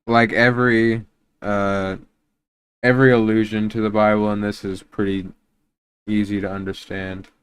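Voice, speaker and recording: Low, smooth-voiced man reacting casually. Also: level tone, normal speed. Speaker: reaction video host, YouTuber. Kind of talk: casual off-the-cuff commentary.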